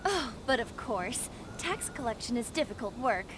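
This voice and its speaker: An actress playing a polite, deferential shopkeeper in a video game, courteous and ingratiating. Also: female voice, a little high, very animated, moderate pace, background noise. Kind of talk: acted video game dialogue. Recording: game music behind the voice.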